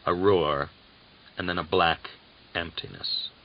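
A man narrating a novel as an audiobook, with a measured, deliberate and dramatic literary delivery. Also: sits low, fairly even intonation. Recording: dull, lo-fi audiobook recording.

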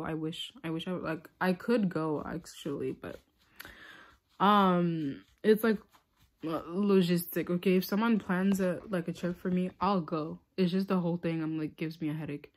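Young woman, from Tanzania, slightly low voice, rambling casual storytime, lots of fillers, phone held close. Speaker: vlogger. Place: small room indoors.

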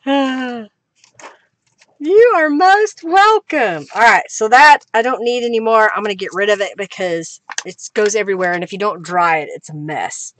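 A woman's voice: medium pitch, normal speed, fairly bright tone, slight background noise. A middle-aged woman from Oklahoma, chatting casually and giggling as she hosts a live crafting stream at her desk. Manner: chatty, giggly, thanks viewers, hums while working. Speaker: crafting livestream host.

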